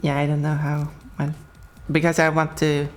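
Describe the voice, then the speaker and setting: A woman's voice: low-pitched, completely flat delivery, normal speed. A young Swedish woman with a Swedish accent, chatting casually with her viewers while playing a racing game, laughing often. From Sweden. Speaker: streamer. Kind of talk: casual gameplay commentary.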